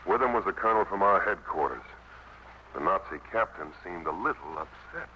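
A man's voice: low-pitched, lively intonation, fairly quick, pushed and bright, a little hiss or hum. An American man playing a seasoned infantry soldier in a 1950s radio drama, recounting the war in plain, terse words. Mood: grim recounting. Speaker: narrator and actor. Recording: muffled old radio broadcast, music behind.

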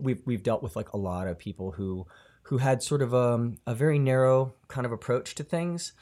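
A man's voice: on the low side, mellow. A man in a band, talking in an interview, often stopping and repeating words as he gathers his thoughts. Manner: halting, many restarts and repeated words. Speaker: band member, guitarist and singer. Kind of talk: casual interview chat.

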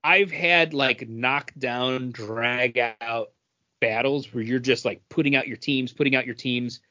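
A man's voice: medium pitch, measured pace, bright, forceful tone, dull audio. Man eagerly explaining game mechanics. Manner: explains eagerly, talks over others. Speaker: podcast co-host. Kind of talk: casual chat about a mobile game.

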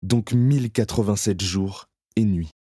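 An actor playing a haunted male survivor in an audio drama, speaking slowly and introspectively in the first person. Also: on the low side, warm tone, slightly rough, no echo, clean studio sound. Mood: anxious. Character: haunted male camp survivor, numb and fearful.

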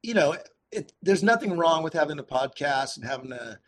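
A man speaking casually and earnestly as an interview guest, thinking aloud in a halting way. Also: on the high side, animated, slight background noise. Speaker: podcast guest, activist and author. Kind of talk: casual conversational interview answers.

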